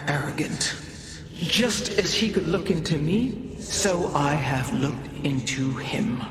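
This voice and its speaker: Hushed alien mystic, slow and grave, slightly high. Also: male voice.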